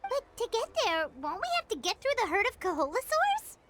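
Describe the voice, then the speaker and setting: A woman voicing a tiny, excitable, childlike fairy companion in a video game, with a squeaky voice. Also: brisk, very noisy. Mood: amazed. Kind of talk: animated video game dialogue.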